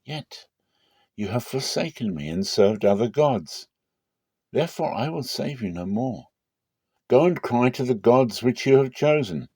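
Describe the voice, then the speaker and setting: A man's voice: mid-range voice, expressive, measured pace, a little mellow, breathy edge, dry recording, voice pushed up. A man voicing God as a stern, reproachful deity giving a solemn rebuke in a scripture reading.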